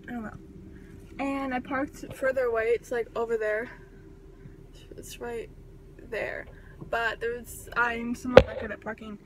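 A seventeen-year-old young woman chatting casually to her phone, rambling along as a vlogger. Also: fairly high pitch. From California. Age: 17, teenager. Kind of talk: casual rambling vlog chat. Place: mostly inside a car. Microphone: phone at arm's length. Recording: noisy handheld phone with vehicle sounds.

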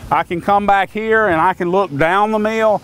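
A man's voice: high voice, normal speed, fairly bright tone, clean tone. An older man gives a plain-spoken, folksy walkthrough of sawmill equipment outdoors, often restarting his phrases. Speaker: sawmill maker explaining equipment. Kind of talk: casual outdoor equipment demonstration. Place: outdoors on a grassy lot beside a sawmill.

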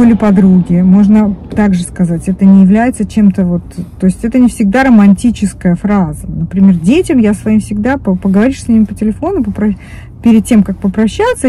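Chatty woman with a warm mellow voice. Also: mid-range voice, slight background noise, low-quality recording. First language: Russian. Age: 50s. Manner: rambling, many restarts and fillers. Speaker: vlogger. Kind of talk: casual chat to camera. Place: parked car, indoors of vehicle. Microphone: phone camera on dashboard.

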